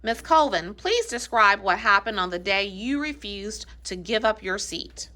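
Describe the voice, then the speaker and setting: A woman reads a courteous male civil rights lawyer in a readers theater play. The voice is bright and forward, mid-pitched, with a measured, formal manner. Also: expressive.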